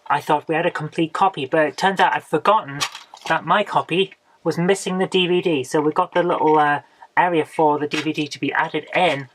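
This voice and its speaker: A woman, hoarse from a coming cold, hosting a casual retro game haul video. She rambles chattily and often ends with tag questions. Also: low voice, dry recording, rough recording. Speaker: retro gaming channel host.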